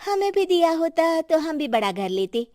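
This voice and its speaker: A woman's voice: extremely high pitch, very animated, normal speed, warm tone, dry recording, studio quality. A woman voicing a pushy, grasping young daughter-in-law in a Hindi cartoon story. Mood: complaining.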